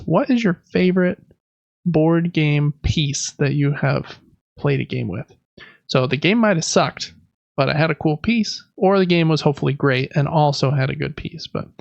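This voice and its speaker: Gravelly, higher-pitched man hosting a podcast. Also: normal speed, dry recording, muffled recording. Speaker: podcast host. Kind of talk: casual board game podcast chat.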